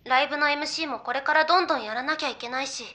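A woman's voice: high voice, racing, sharp tone. A woman playing a young female idol in a Japanese anime audio drama, talking in casual, persuasive, girlish banter. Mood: earnest, persuasive.

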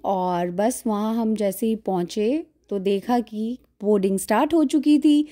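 A woman's voice: a little high, no room sound. A woman in her forties vlogging casually to a phone held at arm's length, chatting about her food and seats on a flight. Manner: chatty, describing food and seats as she films. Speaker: travel vlogger. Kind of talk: casual vlog chat to camera. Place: airport lounge and airliner cabin. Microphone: phone camera at arm's length. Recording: loud aircraft cabin noise. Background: airplane engine and cabin hum.

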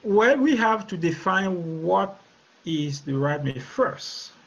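A man with a Cameroonian accent speaks as a guest expert in an interview. His voice is high for a man and husky, and the video call audio is dull and lo-fi. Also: big pitch swings, slow pace. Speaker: guest author and relationship expert. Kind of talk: interview answers, explaining.

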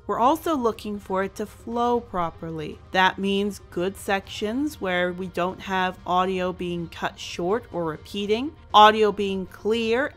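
A woman's voice: fairly high pitch, fairly bright tone. A woman hosting a scripted review, speaking emphatically and stretching out key words. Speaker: reviewer, show host. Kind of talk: scripted review commentary over animation. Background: music.

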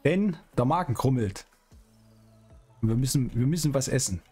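A middle-aged man hosts a roleplay game stream in a chatty, colloquial way. His voice sits at an ordinary male pitch, with faint music behind it. He slips playfully between commentary and in-character chat. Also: lively intonation, moderate pace, warm tone, breathy edge. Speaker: streamer hosting a GTA roleplay series. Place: small indoor streaming room.

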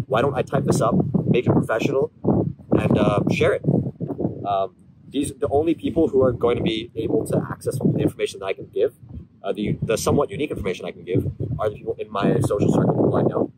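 A man's voice: fairly low pitch, fast talker. A man in his twenties from Thailand, a guest in a casual outdoor conversation, explaining his views earnestly with frequent ums. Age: 20s. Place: outdoors under trees. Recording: loud outdoor ambient noise.

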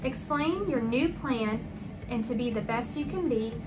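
A woman's voice: on the high side, expressive, measured pace, low-quality recording. A woman voicing a stern male school principal who admonishes and instructs in a children's storybook. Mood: instructive.

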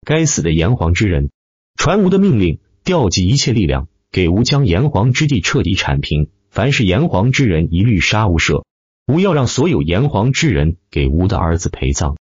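A man's voice: low voice, big pitch swings, moderate pace, darkish tone, breathy edge, no echo, dull audio, heavily acted. A man voicing a wrathful, mighty villain overlord who gives thundering commands in an audiobook. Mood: furious.

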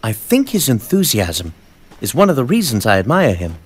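A man playing an earnest, reserved teenage boy in an animated game cutscene, gentle and measured in manner. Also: mid-range voice, breathy edge. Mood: soft, sincere. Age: young adult.

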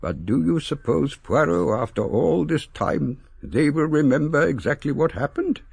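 Wistful, gravelly old English gentleman. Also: a little high, big pitch swings, no room sound, full character voice. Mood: thoughtful. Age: older. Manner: theatrical, wistful, deliberate phrasing. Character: sentimental, eloquent old English gentleman, mournfully reminiscing. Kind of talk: radio drama acting.

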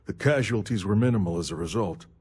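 An actor playing a stern, pragmatic older admiral in a video game, speaking in a measured, formal way. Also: male voice, lowish voice, a little mellow, husky. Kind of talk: video game character dialogue.

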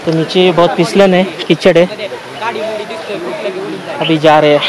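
A man, casually narrating a travel vlog as he walks, pointing things out to viewers in an unhurried way. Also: fairly high pitch, fairly even intonation, a crowd in the background. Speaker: travel vlogger. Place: outdoors on a forested trail by a stream and waterfall. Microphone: handheld camera at arm's length. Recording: noisy outdoors with rushing water.

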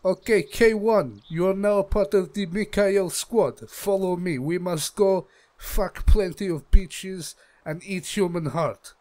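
A man's voice: sits high, measured pace, darkish tone. A man putting on a Russian accent to play a crude, boastful male squad commander in broken English over in-game voice chat. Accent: put-on Russian. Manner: broken English, bragging, mocking.

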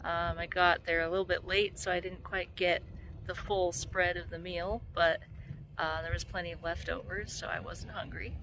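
A woman's voice: lowish voice, level tone, normal speed, fairly bright tone. A middle-aged woman chatting casually and cheerily to a phone held at arm's length, in an informal vlog style. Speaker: vlogger. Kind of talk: casual vlog chat to camera. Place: parked car and open desert outdoors. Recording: noisy outdoor phone video, ambient vehicle noise.

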